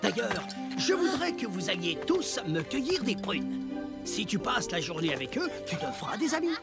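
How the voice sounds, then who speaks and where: Benevolent, authoritative village elder, cartoon. Gravelly, swooping delivery over loud music. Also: male voice, sits high, big pitch swings, moderate pace, exaggerated voice. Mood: instructing. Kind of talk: French cartoon dub acting.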